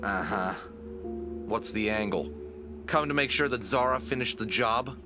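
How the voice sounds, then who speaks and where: A man's voice: medium pitch, measured pace, over music, close to an everyday voice. A man acting in an audio drama as a plucky, sarcastic adventurer who is wary, casual and easily flustered. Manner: wisecracking, excitable, casual.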